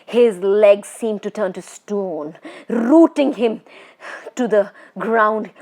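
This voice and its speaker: A woman storyteller narrating a children's horror story in an expressive, suspenseful, dramatic way. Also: medium pitch, slow pace.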